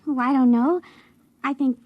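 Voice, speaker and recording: An actress playing a wistful, hopeful young space station assistant in a 1950s radio drama, hesitant and earnest. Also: female voice, high-pitched, normal speed, no room sound, muffled recording.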